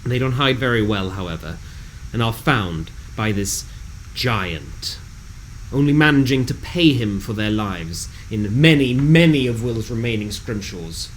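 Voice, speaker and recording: A man reading a scripted fantasy game recap in a dramatic, measured storytelling delivery. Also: fairly low pitch, expressive, slight background noise, low-quality recording.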